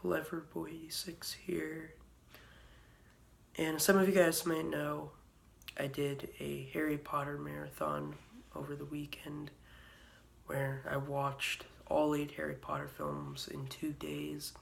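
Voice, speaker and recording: Hoarse young man with a gravelly voice. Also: a little high, flat delivery, slow, slight background noise. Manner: rambling, frequent ums and uhs. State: hoarse from a cold, sore throat. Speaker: vlogger. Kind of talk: casual vlog review.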